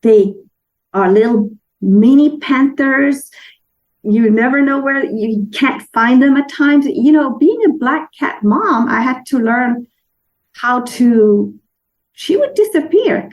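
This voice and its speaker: Woman from denmark, gravelly voice, chatty guest telling a story, stops to hunt for words now and then. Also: on the high side, animated, takes it slowly, poor audio. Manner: warm storytelling with restarts and searching for words. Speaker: guest author. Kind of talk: casual interview chat.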